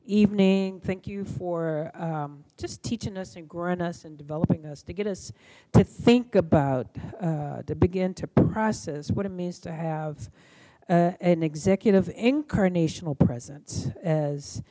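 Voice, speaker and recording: A woman hosting a gathering, speaking to the group in a gentle, deliberate, halting way. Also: lowish voice. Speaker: session host closing the evening with prayer. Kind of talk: live address to a group, announcements and prayer.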